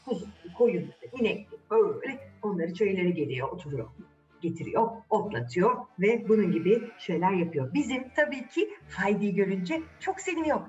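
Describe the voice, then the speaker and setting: A woman hosts a children's fairy tale with a very animated, swooping delivery. She chats directly to young listeners and asks them questions. The sound is a little distant and dull, with faint music behind her. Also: mid-range voice. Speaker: storyteller and channel host.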